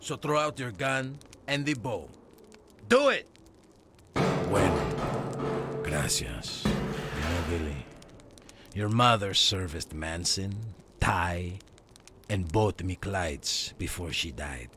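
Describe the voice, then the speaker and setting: An actor playing a sadistic, gloating outlaw villain in a Western video game, taunting with menace and Spanish words sprinkled in. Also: male voice, sits low, very animated, takes it slowly, long pauses, bright, forceful tone, soft background music, heavily acted. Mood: commanding.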